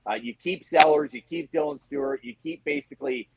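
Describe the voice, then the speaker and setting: A man's voice: on the high side, expressive, brisk, creaky, noisy background. A man speaking as a guest sports reporter, giving casual analysis in a conversational way, often voicing rhetorical questions. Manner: conversational, talks in acted-out questions and asides.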